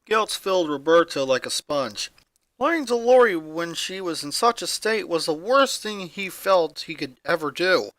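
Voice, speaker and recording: Someone reading fanfic narration, mid pitch, bright sound, no room echo. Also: lively intonation, a little slow. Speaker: story reader. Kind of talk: scripted narration of fan fiction.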